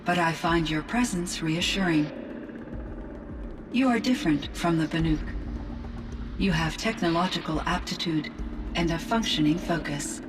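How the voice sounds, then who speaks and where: A woman playing a gentle artificial intelligence in a video game, speaking in a formal, precise and measured way. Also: lowish voice, distant mic, over music, close to an everyday voice. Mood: calm, reflective.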